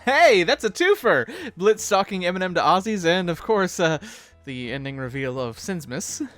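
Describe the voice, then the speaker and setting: A high-voiced man co-hosts an animated-episode commentary. His intonation swings widely, and he banters in a chatty, animated manner over quiet music. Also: normal speed. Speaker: co-host of fan commentary video.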